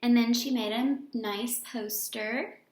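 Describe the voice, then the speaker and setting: A woman's voice: a little high, fairly even intonation, takes it slowly. A woman teacher reading a picture book aloud to young children, expressively and with simple explanatory asides. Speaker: elementary school teacher. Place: indoor room with echo. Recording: echoey room.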